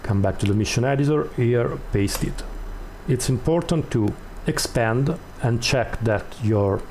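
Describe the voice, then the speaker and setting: A man hosting a step-by-step tutorial, explaining off the cuff in a halting way and repeating words as he goes. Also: mid-range voice, darkish tone, a little hiss or hum. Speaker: tutorial host.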